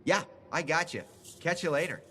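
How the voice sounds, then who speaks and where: An actor voicing an eager, chummy, awkwardly pushy informant in a video game. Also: male voice, fairly high pitch, normal speed, pushed and bright, slight background noise. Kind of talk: video game dialogue acting.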